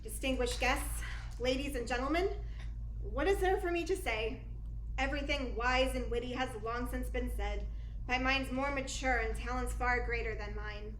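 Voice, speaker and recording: An actress playing an ambitious, scheming young stage star in a radio play, with a theatrical, carefully enunciated delivery. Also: female voice, sits high, normal speed, a bit bright. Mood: poised, humble. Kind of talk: dramatic radio play acting. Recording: roomy echo with clear background noise.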